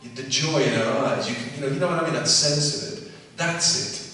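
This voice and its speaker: A man, a guest actor on a convention panel, answering reflectively with halting starts that trail off quietly. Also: fairly high pitch, faint music behind. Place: large theatre stage. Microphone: distant hall pickup. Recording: echoey theatre from far off.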